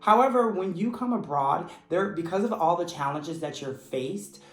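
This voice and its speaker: Man, measured explaining, roomy echo, faint music underneath. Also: high voice. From United States. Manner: measured, steady, earnest explaining. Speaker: English teacher. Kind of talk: explanatory vlog talk. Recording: echoey room with faint music. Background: faint background music.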